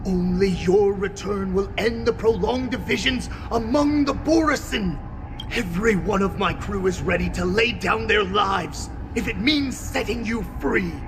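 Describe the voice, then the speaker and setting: A man's voice: sits high, unhurried, music in the background. An actor voicing a sly beast-man counselor in a video game, speaking deferentially and servilely to his lord. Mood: earnest.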